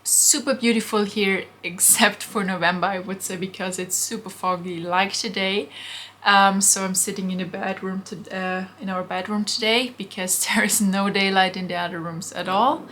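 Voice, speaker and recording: A woman's voice: mid-range voice, steady tone, moderate pace, fairly bright tone. Chatty woman vlogging from her bedroom. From Austria. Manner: chatty, frequent ums, answers viewer questions. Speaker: vintage shop owner vlogger. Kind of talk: casual Q&A vlog. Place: bedroom at home. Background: faint construction noise from next door.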